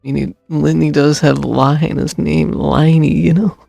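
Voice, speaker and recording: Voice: medium pitch, fairly even intonation, moderate pace, vocal fry, mellow, no room sound, soft background music. A streamer giving casual live commentary while playing a video game.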